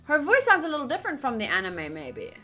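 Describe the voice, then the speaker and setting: A woman streaming a visual novel, reading aloud and chatting casually. Her voice is fairly high and bright, with lively, playful swings and faint game music behind. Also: fast talker. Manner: animated, playful, exclaiming.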